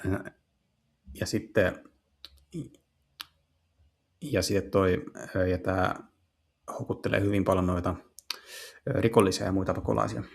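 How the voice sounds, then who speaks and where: Game video host: man, deep-ish and creaky, casual, halting delivery of improvised translation. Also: little pitch movement. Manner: halting, hesitant, searching for words.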